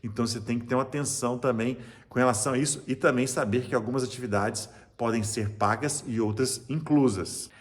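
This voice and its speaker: A man's voice: mid-range voice, steady tone, a little fast, few pauses. A man with a Brazilian Portuguese accent, a cruise travel agent chatting enthusiastically and in a salesy way while giving tips, often restarting his sentences. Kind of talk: casual tips vlog to camera.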